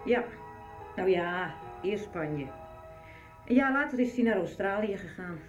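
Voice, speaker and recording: A woman's voice: mid-range voice, expressive, a little slow, far from the mic, natural voice. A woman playing a worried mother in a radio soap, recorded muffled and roomy, away from the microphone. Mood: grave, hesitant. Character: worried mother telling a painful family secret. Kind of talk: 1990s radio soap acting. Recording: muffled, roomy, off-mic, faint music.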